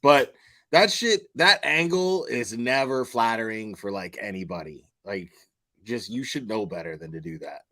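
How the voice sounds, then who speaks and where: A man's voice: fairly low pitch, animated, normal speed, a bit bright. A man giving casual live commentary in a slangy, profane, mocking manner, as the host of a reaction stream.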